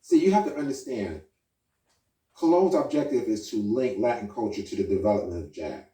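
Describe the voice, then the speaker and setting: A man's voice: fairly low pitch, expressive, a little fast, mellow. A man delivers video commentary in an insistent, explanatory way, talking straight to his viewers. The sound is roomy and echoey, and he sits some way from the mic. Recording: roomy echo, distant from mic.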